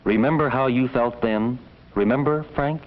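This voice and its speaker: A man narrating a 1950s educational film as a stern, measured voice reproachfully addressing schoolboys. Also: medium pitch, lightly acted. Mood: grave, reproachful. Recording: dull vintage 1950s film soundtrack.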